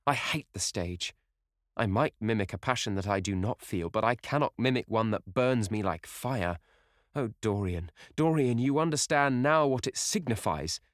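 A man's voice: on the low side, expressive, normal speed, no echo, clean studio sound, pitched above the performer's own voice, close to an everyday voice. A man reading aloud, voicing a young actress swept up in love, in an ecstatic, pleading, theatrical way. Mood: rapturous.

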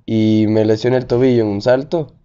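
Young guy, smooth dark voice, talks slow and thoughtful, bit dull audio. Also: medium pitch, fairly even intonation. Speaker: guest rider. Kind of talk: casual chat.

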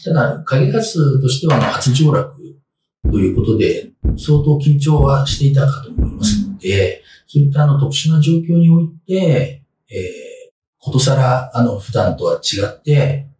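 Older man explaining, lively pitch, roomy sound. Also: distant mic. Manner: measured, halting, hedged explanations. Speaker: guest historian and author. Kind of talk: interview answers, explaining history. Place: small indoor room, seated at desk. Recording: roomy echo, off-mic, faint noise.

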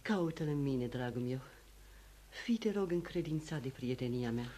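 An actress playing a solicitous, effusive aristocratic princess in old radio theatre style. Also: female voice, a bass voice, expressive, dark tone. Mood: consoling, effusive. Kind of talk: vintage radio theatre acting. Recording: noisy vintage radio recording.

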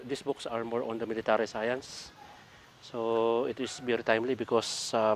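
A man's voice: medium pitch, slight background noise. A middle-aged man with a Filipino accent talks casually outdoors for a vlog, reading out book titles with frequent fillers while the camera films him from a few meters away. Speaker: vlogger sorting donated library books. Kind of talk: casual vlog talk mixing English and Tagalog. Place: outdoors beside a building.

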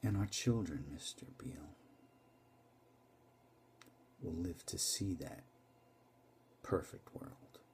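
A man's voice: slow, long pauses, slight background noise. A man doing a thundering corporate tycoon. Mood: quiet, intense. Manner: booming shouts falling to hushed whispers. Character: commanding male corporate tycoon, fierce, preaching. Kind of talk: dramatic monologue recitation.

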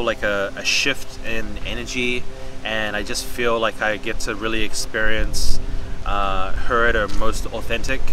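A young man with a lowish, very even voice reflects in a casual, halting, slangy way. He is recorded outdoors in a park, a little far from the mic, with music underneath. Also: background noise. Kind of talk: reflective personal interview, casual speech.

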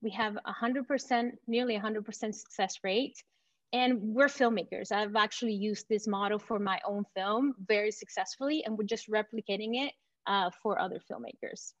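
A woman from Venezuela presenting her company in an enthusiastic, confident startup pitch. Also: steady tone, moderate pace, dry recording, muffled recording. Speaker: company founder pitching to investors.

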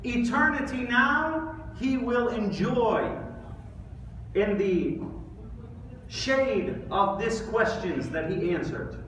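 A man in his thirties giving an Islamic sermon in an emphatic, deliberate way, with rhetorical questions and pauses. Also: very high-pitched, a bit bright. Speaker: preacher giving an Islamic lecture. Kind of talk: sermon with quoted Arabic recitation. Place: large mosque prayer hall, indoors. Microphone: distant camera or phone. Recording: echoey hall from far off, faint noise.

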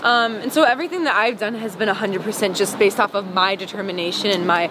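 A woman's voice: mid-range voice, quick pace. A young woman from Wisconsin, a singer, chatting casually and giggling with lots of "like" and "um" while she walks down a sidewalk in an interview. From Wisconsin, United States. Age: young adult. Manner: chatty and giggly, many "like" and "um" fillers. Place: outdoors on a city sidewalk, walking. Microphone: handheld camera at close range. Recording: street noise behind the voice.

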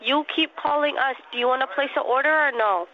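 Exasperated restaurant worker on the phone. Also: female voice, high-pitched, fairly quick, muffled recording, slight background noise. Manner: exasperated, firm.

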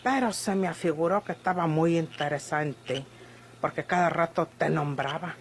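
A woman's voice: low-pitched, moderate pace, slight background noise. An actress playing a feisty, blunt ranch woman with a rural Mexican accent and rustic speech, in an old radio drama. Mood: probing. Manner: rustic, sharp-tongued speech. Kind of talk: Mexican golden-age radionovela acting.

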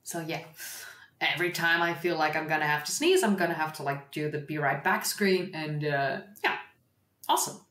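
A woman's voice: low voice, moderate pace, a bit bright, roomy sound. A Dutch-born woman hosting a history livestream, chatting casually and reading an article aloud with rambling asides and self-corrections. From Netherlands.

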